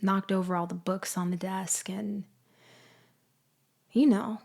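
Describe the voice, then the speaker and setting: A woman playing a betrayed, vengeful ex-girlfriend in an audio roleplay monologue, taunting with deliberate, condescending delivery. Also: medium pitch, fairly even intonation, creaky, darkish tone. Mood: bitter, controlled. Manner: slow, deliberate, condescending, with sighs and pauses. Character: betrayed, vengeful ex-girlfriend confronting her framer, coolly taunting. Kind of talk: girlfriend ASMR-style dramatic roleplay monologue.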